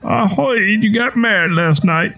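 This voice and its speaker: Man doing a choked, gruff old farmer. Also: sits high, a little slow, sharp tone, faint music behind, pitched above the performer's own voice, full character voice. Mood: gruff, matter-of-fact. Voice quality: strained, choked. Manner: blunt, folksy. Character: gruff old backwoods Southern father-in-law, hard-drinking tenant farmer.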